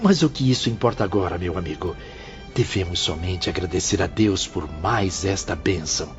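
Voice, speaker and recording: A man as a reverent spirit companion, very deep, muffled with music. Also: animated, moderate pace. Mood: awed. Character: awed male spirit companion, devout. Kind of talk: audio drama acting.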